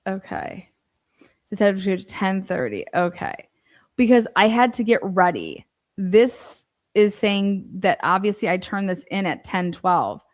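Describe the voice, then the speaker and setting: A woman's voice: medium pitch, lively intonation, measured pace. A woman giving informal true-crime commentary, reading from a transcript and breaking in with pointed, skeptical asides and rhetorical questions.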